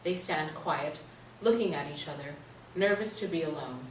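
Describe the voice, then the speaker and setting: A woman's voice: deep voice, normal speed. A woman narrator reading stage directions in a scripted play reading. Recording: roomy stage, distant mic, faint noise.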